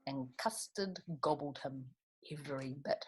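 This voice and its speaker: Husky, low-voiced woman reading stories to children. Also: unhurried. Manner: expressive, chatty asides with tag questions. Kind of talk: animated picture-book read-aloud with asides to young listeners.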